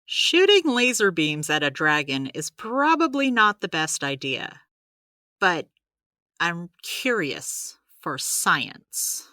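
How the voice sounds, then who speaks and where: Chatty, animated, bright-voiced healer. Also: female voice, lowish voice, slow pace, no echo, studio quality, full character voice. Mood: curious. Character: supernatural healer woman, practical, chatty, gently caring mentor. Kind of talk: audio drama dialogue.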